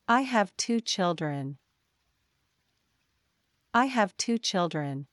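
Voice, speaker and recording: A woman reading simple example sentences for learners, slowly and deliberately, saying each one twice. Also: fairly low pitch, animated, fairly bright tone, dry recording, clean studio sound. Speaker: English teacher.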